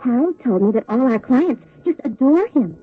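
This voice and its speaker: An actress playing a sweet, soft-spoken, naive young secretary in a radio drama, hesitant and artless. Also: female voice, high-pitched, animated, moderate pace, faint music behind. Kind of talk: 1970s radio drama acting. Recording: narrow-band old radio recording.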